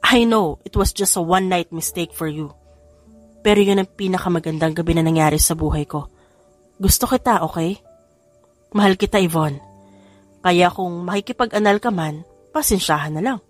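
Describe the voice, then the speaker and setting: A woman's voice: lowish voice, moderate pace, long pauses, soft background music, lightly acted. A woman with a Filipino accent voicing a confident, stubborn young man in love, earnest and mixing in English, in an audiobook romance. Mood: earnest, determined. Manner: earnest, switches between Tagalog and English. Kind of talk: audiobook romance character acting.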